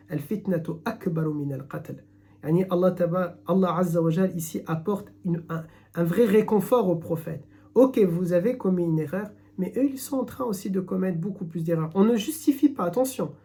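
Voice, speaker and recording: Earnest man teaching, mellow voice. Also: high voice. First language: French speaker, not Arabic. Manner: earnest, emphatic, many restarts. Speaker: Islamic teacher. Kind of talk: live-streamed religious lesson.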